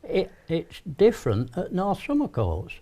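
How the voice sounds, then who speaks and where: A man's voice: sits high, huge pitch swings, unhurried, warm tone. Older man, North East Lincolnshire accent, folksy studio guest. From North East Lincolnshire, England. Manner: chatty, folksy, with frequent restarts. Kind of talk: studio interview.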